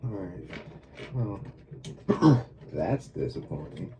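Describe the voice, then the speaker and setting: A man's voice: deep voice, expressive, slow, a crowd in the background. A man with a stuffy voice from a cold, talking casually and muttering to himself as he builds a small model truck. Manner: mutters to himself while working. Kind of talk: casual build vlog talk.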